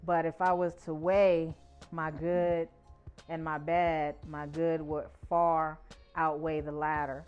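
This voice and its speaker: A woman's voice: sits low, level tone, slow, darkish tone, clear, resonant tone, lo-fi sound, soft background music. A woman in her forties hosting a podcast, speaking in an earnest, uplifting way. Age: 40s. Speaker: podcast host. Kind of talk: podcast introduction and conversation.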